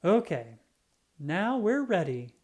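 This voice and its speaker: A woman's voice: on the low side, very animated, slow, darkish tone. A woman voicing a cheerful, encouraging male lumber yard boss in a children's storybook. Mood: satisfied. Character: upbeat man, boss of a lumber yard crew.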